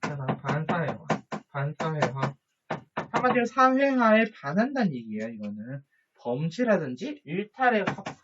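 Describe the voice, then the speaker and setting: A man teaching a high school social studies lesson, chatty, with jokey asides and quick questions to his students. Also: big pitch swings, noisy background. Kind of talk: online lecture to students. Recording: dull lo-fi recording.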